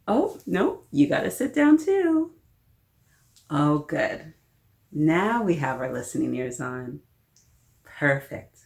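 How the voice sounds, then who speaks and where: A woman hosting a children's story time, reading a picture book aloud in a playful, expressive way and talking directly to her young listeners. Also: low-pitched, slow pace, vocal fry, long pauses. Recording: roomy, echoing sound.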